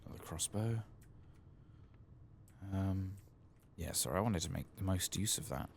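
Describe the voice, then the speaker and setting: A soft-spoken man giving ASMR commentary on a video game, hushed and often slipping into whispers. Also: low-pitched, normal speed, gravelly, a little hiss or hum.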